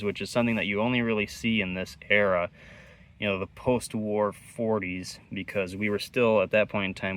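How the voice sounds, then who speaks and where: A man's voice: on the low side, pushed and bright. A man giving casual, hands-on repair commentary as a host, musing aloud in a laid-back way. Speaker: host of an electronics repair channel.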